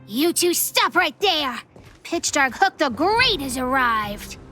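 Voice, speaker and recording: A woman's voice: high-pitched, sing-song, slow, sharp tone, soft background music, full character voice. A woman voicing a bold, boastful little girl treasure hunter in a video game. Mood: accusing. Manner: childlike, boastful, speaks of herself in third person.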